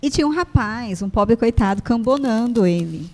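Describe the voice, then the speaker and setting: A Brazilian woman with a fairly high voice tells anecdotes in a casual chat. She is self-mocking and laughs at her own blunders as she goes. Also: lively intonation, moderate pace, a little mellow. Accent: Brazilian Portuguese. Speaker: guest, shop owner.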